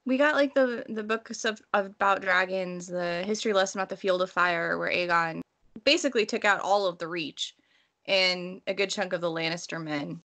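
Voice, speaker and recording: A woman's voice: lowish voice, normal speed, a bit bright. Woman hosting a casual book chat. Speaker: podcast host.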